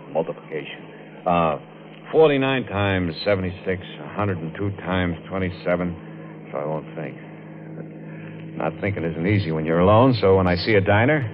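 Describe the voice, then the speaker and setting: An actor playing a haunted, exhausted American man driving at night, halting and jumpy, in a 1950s radio mystery. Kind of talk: 1950s radio mystery acting with first-person narration. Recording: noisy, muffled old radio recording with engine rumble.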